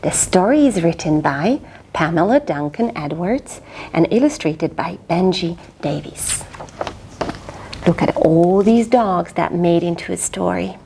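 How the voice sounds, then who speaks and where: A woman's voice: fairly low pitch, expressive, measured pace, gravelly, mellow, slight background noise. A woman reading a picture book aloud to children, coaxing them with questions about the story. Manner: coaxing, asks the listener questions. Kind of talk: interactive read-aloud for children.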